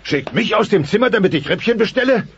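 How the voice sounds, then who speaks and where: A man's voice: high voice, lively intonation, quick pace, a little hiss or hum. A voice actor playing a mighty, pompous dinosaur father, blustering and sentimental, in a German dubbed family sitcom audio play. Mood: aggrieved. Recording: dull cassette transfer with music.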